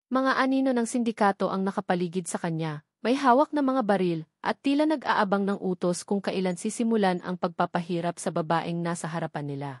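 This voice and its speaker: A woman's voice: medium pitch, a little mellow, no room sound, studio quality. A woman reading a scripted dramatic story as its narrator.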